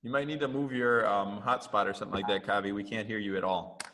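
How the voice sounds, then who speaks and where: A man's voice: fairly low pitch, fairly even intonation, fast talker, noisy background. A man leading an online music class, explaining things loosely with lots of fillers over a video call. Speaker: music teacher leading a group session. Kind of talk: casual online class talk.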